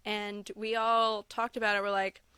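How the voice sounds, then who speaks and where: A woman's voice: mid-range voice, flat delivery, moderate pace, sharp tone, clean tone, dry recording. Bubbly, giggly young woman guest. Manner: bubbly, gushing, laughs often, many "like"s and "um"s. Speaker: podcast guest, content creator. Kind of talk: casual interview chat.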